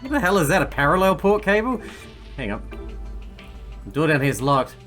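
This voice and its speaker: A man's voice: fairly high pitch, big pitch swings, normal speed, fairly bright tone. A man giving casual gameplay commentary, thinking aloud and swearing freely. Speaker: gaming streamer. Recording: game music faintly behind.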